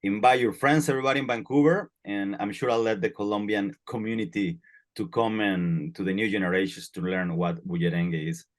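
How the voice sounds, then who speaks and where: A man with a fairly low voice interviews a filmmaker for a film festival, tripping over the first words of his questions. He warms into easy promotion of the screening. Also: fairly even intonation, brisk. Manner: stumbling, repeats words when starting questions. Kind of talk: casual interview.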